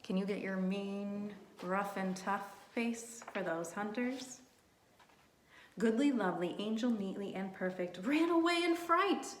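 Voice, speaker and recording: A woman reading a storybook aloud to young children, expressively, pausing to put questions to her listeners. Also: medium pitch, expressive, slight background noise. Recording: roomy, echoing sound.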